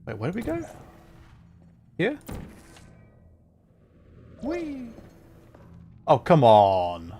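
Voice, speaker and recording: A man's voice: on the high side, wildly swooping pitch, very slow, long pauses, warm tone. Man casually streaming a game over faint music. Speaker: livestreamer. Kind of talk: casual gameplay commentary and chat.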